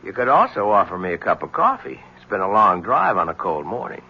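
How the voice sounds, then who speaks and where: A man's voice: sits low, very animated, fairly quick, breathy edge, exaggerated voice. An actor playing a cocky, sardonic male newspaper reporter in a 1970s radio drama. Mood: needling. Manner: sardonic, needling. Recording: dull lo-fi 1970s radio broadcast.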